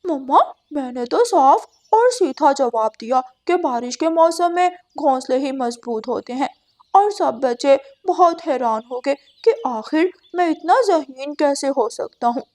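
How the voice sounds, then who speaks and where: A woman's voice: high voice, expressive, unhurried, dark tone. A woman voicing a boastful, simple-minded little boy bird with a cocky manner in a cartoon. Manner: cocky, self-assured.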